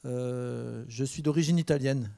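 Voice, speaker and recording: A man from France speaking on a conference panel, relaxed and joking, with many fillers. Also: medium pitch, measured pace, creaky, no echo. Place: university conference room. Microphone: handheld mic.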